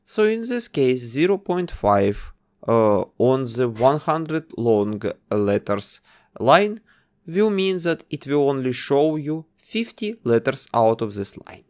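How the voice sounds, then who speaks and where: A man narrating a coding tutorial, calmly giving instructions one step at a time. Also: medium pitch, takes it slowly. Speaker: game development tutor.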